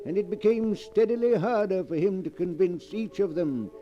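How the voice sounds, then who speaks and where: A man narrating a 1940s radio drama in a measured, ominous way. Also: high voice, dark tone. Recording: dull old broadcast with music under.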